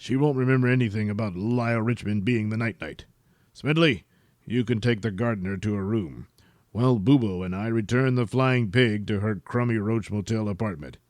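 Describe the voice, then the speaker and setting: A man's voice: on the low side, moderate pace, darkish tone, no room sound, lightly acted. A man playing a pompous, self-important, blustering superhero in a comic audio serial. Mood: scheming, bossy. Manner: pompous, grandiose.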